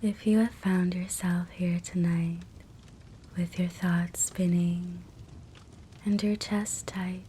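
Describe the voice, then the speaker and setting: A woman guiding a sleep hypnosis meditation in a soft, breathy whisper, gentle and soothing. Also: on the low side, slow. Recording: soft steady fireplace crackle noise. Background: fireplace ambience.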